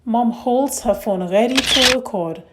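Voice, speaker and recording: A woman hosting and narrating a read-aloud story for young children in simple, deliberate phrasing. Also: fairly high pitch, very noisy.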